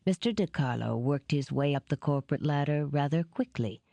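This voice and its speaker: A woman voicing a powerful older businessman in an audiobook, courteous, calculating and formal in a measured way. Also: sits low, expressive, creaky, mellow, no echo. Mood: measured, businesslike.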